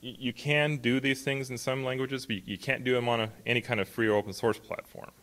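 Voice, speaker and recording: A man's voice: mid-range voice, steady tone, fast, a bit bright. A middle-aged man speaking at a podium in a large auditorium, talking conversationally with many fillers and restarts. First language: not a native Hawaiian speaker. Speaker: conference speaker. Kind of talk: conference talk with slides. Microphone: podium mic, filmed from far back.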